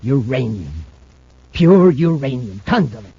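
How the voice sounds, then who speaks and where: An actor playing a weakened, obsessed spacer in a 1950s radio drama, brooding and intense. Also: male voice, medium pitch, very animated, unhurried, mellow, breathy edge, no room sound, exaggerated voice. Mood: feverish, driven. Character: thin, weakened, obsessed male spacer seeking his old partner. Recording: muffled old-time radio recording.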